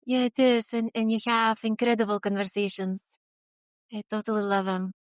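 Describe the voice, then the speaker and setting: A woman with a Lithuanian accent, a podcast guest, speaking earnestly and pausing to search for her words. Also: mid-range voice, little pitch movement, no echo, clean studio sound. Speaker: guest, HR consultant. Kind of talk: interview conversation.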